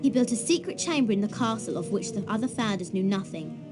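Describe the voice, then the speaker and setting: A woman's voice: mid-range voice, animated, fast talker, music in the background, lightly acted. An actress with a British accent playing an earnest, bookish schoolgirl at a wizard school in a video game. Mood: earnest, curious. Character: earnest, bookish schoolgirl witch, eager and bright.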